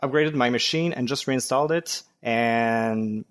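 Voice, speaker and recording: A man's voice: mid-range voice, unhurried, clean tone. A young man from Quebec with a French Canadian accent, chatting as a podcast guest and explaining his developer setup at an easygoing pace with fillers. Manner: relaxed, explanatory, with frequent fillers. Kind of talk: casual technical chat.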